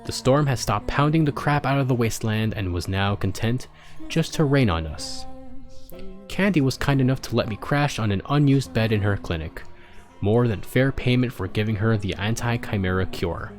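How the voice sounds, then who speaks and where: A man's voice: lowish voice, moderate pace, faint music behind. A man narrating a story as he reads fan fiction aloud, sometimes stumbling and rereading a line.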